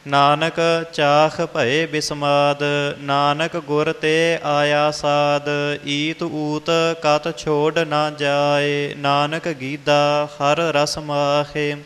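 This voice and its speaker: A man chants scripture line by line at a very slow pace. His voice is clear and smooth, set a little high, and barely rises or falls. It rings in a large hall, with faint music underneath. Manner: slow, even, measured chanting. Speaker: scripture reciter.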